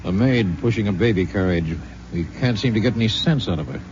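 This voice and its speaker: An actor playing a matter-of-fact police lieutenant in a 1950s radio drama. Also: male voice, on the low side, fairly quick, darkish tone, close to an everyday voice. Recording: muffled old radio recording, faint noise.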